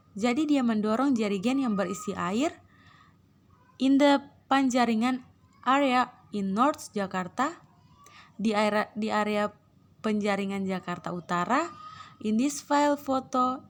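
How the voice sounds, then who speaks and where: Hoarse Indonesian woman teaching English. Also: on the high side. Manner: clears throat often, slow careful reading of English. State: hoarse from a cold. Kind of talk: online English lesson, reading then translating.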